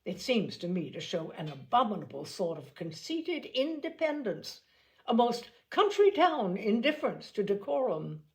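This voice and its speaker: A woman's voice: mid-range voice, sing-song, normal speed. A woman voicing a snobbish, spiteful young society lady in an audiobook, with an exaggerated, haughty, emphatic delivery that gushes and scorns. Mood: scornful, indignant. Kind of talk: audiobook character acting.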